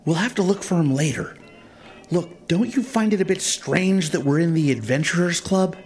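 A man's voice: on the high side, expressive, moderate pace, faint music behind, close to an everyday voice. A man playing the sensible, wary one among bickering friends in a comic audio drama. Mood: wary, urgent.

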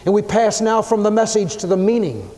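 A man preaching a sermon, emphatic, swinging his pitch and loudness as he acts out the people he quotes. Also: expressive, fairly quick. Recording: long hall reverb.

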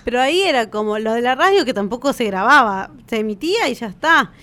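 A woman's voice: fairly high pitch, expressive, quick pace, fairly bright tone. An Argentine woman co-hosting a podcast in casual, colloquial chat. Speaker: podcast co-host.

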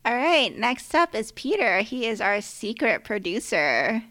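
A young woman from California co-hosts a casual podcast. Her voice sits a little high, and her manner is warm and easygoing. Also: big pitch swings, unhurried. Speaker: podcast co-host.

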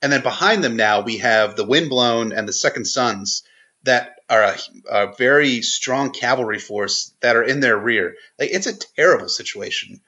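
A man with a bright, forceful voice talks through an analysis as a podcast guest. He explains point by point, often restarting his phrases. The recording is a little dull and lo-fi. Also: mid-range voice, lively intonation, normal speed. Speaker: guest commentator. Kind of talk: podcast analysis, unscripted discussion.

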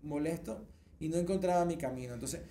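A man with a Venezuelan accent and a mellow, slightly high voice, hosting a casual podcast. He talks reflectively and haltingly, with noticeable room echo and faint noise behind him. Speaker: podcast host. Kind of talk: casual conversational interviewing. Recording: roomy echo, some background noise.

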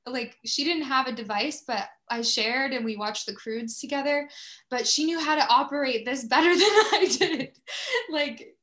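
Higher-pitched woman hosting a podcast. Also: moderate pace, a bit bright. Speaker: podcast host. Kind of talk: conversational interview.

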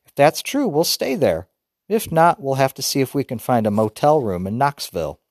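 A man voicing an organized mother who reads out a trip plan in a brisk, careful, list-like way. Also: medium pitch, big pitch swings, a little mellow, no echo, clean studio sound, voice pushed up. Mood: planning.

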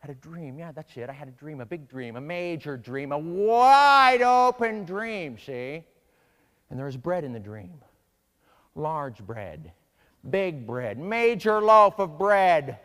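A man's voice: on the high side, very animated, slow pace, a bit bright, big-room sound, heavily acted. A man voicing a nervous, whiny soldier who talks like an old-time movie gangster, repetitive and agitated, in a comedy sketch. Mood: pained, brooding. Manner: repetitive, agitated, tags phrases with "see". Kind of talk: comedic Bible story sketch.

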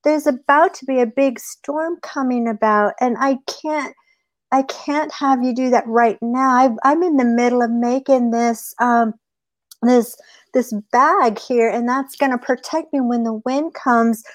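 A woman's voice: on the high side, fairly even intonation, measured pace, no echo, voice pushed up, natural voice. A woman voicing a sly male trickster rabbit in a folktale, coaxing and falsely innocent. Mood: pleading. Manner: coaxing, wheedling reassurance. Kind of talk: oral folktale storytelling.

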